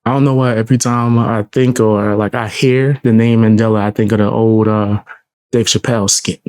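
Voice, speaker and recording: A man with a low voice, commenting casually and with slang on a live stream, laid-back with chuckling asides. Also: flat delivery, moderate pace, darkish tone, no echo.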